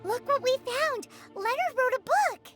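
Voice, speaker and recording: A woman voicing a tiny, chirpy, excitable childlike fairy companion in a video game, with a squeaky voice. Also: unhurried. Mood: excited. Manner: excitable, exclaiming, refers to self in third person. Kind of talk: video game character acting. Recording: music behind the voice.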